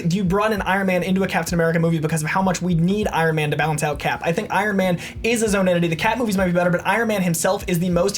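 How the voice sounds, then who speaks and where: Very fast-talking, assertive male debater. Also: sits high. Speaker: debate contestant. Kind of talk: quick argumentative rebuttal.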